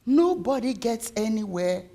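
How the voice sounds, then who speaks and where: A woman voicing a loving, weary, emotional mother in a story, with pleading, earnest, drawn-out words. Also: on the high side, very animated, gravelly, mellow, heavily acted. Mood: tender, resolute.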